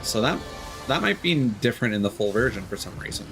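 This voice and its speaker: Animated man streaming a game. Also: mid-range voice, fairly quick. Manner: rambling, thinking aloud. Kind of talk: casual live gameplay commentary. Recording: music behind the voice. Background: game music.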